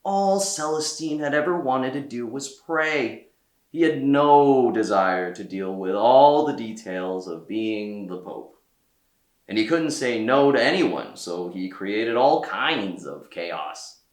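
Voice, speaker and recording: A man reading a children's story aloud as a storyteller, with theatrical delivery and deliberate pauses. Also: mid-range voice, expressive, echoey room. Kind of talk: expressive read-aloud narration for children.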